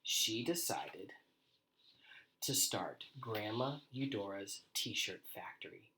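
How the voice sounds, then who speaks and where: A man reads a storybook aloud in an animated, deliberate way, as if for young children. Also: lowish voice, background noise. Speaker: storybook reader. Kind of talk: expressive children's read-aloud with quieter asides.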